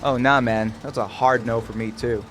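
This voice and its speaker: A man's voice: mid-range voice, fairly even intonation, moderate pace, fairly bright tone, slight background noise, natural voice. Skeptical, casual young man acting as a friend. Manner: casual, slangy, doubtful. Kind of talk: horror audio drama acting.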